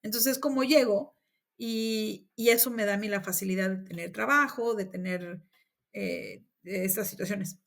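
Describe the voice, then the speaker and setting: A woman with a Mexican accent telling her own story in a casual conversation, with lively acted-out dialogue. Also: mid-range voice, measured pace, vocal fry. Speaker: interview guest.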